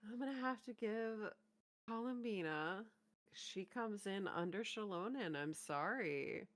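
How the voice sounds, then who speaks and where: A woman's voice: mid-range voice, lively intonation, measured pace, no room sound. A woman co-hosting a reaction video, chatting and commenting casually.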